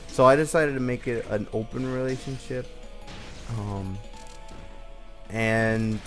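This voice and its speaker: Young man telling stories over music. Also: mid-range voice. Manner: informal, hesitant, conversational delivery. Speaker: storyteller, host of a personal story series. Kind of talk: casual, rambling personal storytelling. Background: background music from a game.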